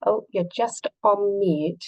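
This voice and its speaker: A woman hosting a webinar over a video call, brisk and reassuring. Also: medium pitch. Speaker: webinar host.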